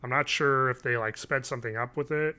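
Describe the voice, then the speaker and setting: Male co-host, thirties, medium pitch, jokey and sweary movie-podcast banter. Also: fairly even intonation, brisk, sharp tone, clear, resonant tone. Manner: joking, swears casually. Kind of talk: casual film and TV chat. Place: small home room, remote call.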